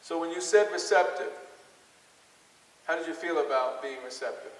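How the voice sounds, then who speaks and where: A man with a fairly high voice leads an interactive workshop. He is encouraging, asking questions and echoing answers back as he explains. The sound is distant, with a long hall reverb. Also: normal speed. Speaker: workshop speaker. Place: large hall with an audience. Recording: echoey hall, distant microphone.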